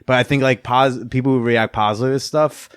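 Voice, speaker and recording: This is a man in his thirties hosting a casual podcast conversation. He talks in loose, rambling sentences with lots of "like" and casual profanity. The sound is dry and close to the mic, and his pitch sits in the middle range for a man. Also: steady tone, brisk, clear, resonant tone, no room sound. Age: 30s. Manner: chatty, swears casually, laughs easily. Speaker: podcast host. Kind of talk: casual interview chat.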